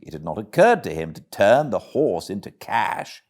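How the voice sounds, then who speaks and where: A British man narrating a classic storybook as an audiobook reader, with expressive delivery. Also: fairly low pitch, moderate pace. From Britain.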